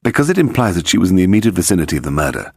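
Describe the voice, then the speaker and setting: A man's voice: sits low, very animated, very fast, vocal fry, slightly rough, dry recording, clean studio sound, heavily acted. A man voicing a composed, authoritative male detective who reasons aloud with assurance in an audiobook mystery. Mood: urgent, assured.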